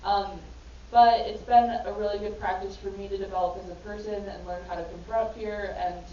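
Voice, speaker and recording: A woman's voice: mid-range voice, fairly even intonation, a little fast, dark tone, a little hiss or hum. A woman panelist telling a personal story in an earnest, reflective way. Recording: echoey hall from far off, dull.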